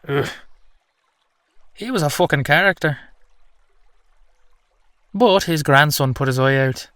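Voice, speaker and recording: A man plays an ancient salmon-man in an intimate audio roleplay. His voice sits a little high for a man and is recorded very dry. He spins old myths straight to the listener in a chatty, colloquial way, boastful and playfully indignant. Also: slow, a bit bright, no echo. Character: whimsical, boastful ancient salmon-man, storyteller of Irish myth.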